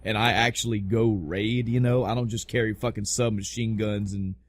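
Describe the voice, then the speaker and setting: A man's voice: lowish voice, fairly even intonation, moderate pace, dry recording. A man hosting a casual podcast about pop culture, talking in an emphatic, rambling way with plenty of swearing. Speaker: podcast host. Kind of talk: casual podcast chat about video game movies.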